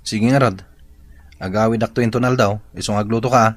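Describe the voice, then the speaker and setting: A man's voice: on the low side, steady tone, brisk, lightly acted. A man playing a blunt, laid-back young rural boyfriend in a drama. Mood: willing.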